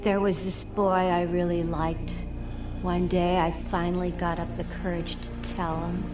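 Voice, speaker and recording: An actress playing a lonely, vain, unhinged young bride in a dramatic video game cutscene monologue. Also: female voice, lowish voice, flat delivery, a little slow, dull audio, natural voice. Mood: wistful, brooding. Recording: loud music under the voice.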